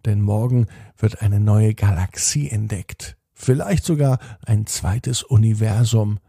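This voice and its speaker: Slow gravelly man telling a bedtime story. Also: fairly low pitch, warm tone, dry recording, clean studio sound. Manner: slow, soothing, addresses the child listener directly. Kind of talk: calm bedtime story narration.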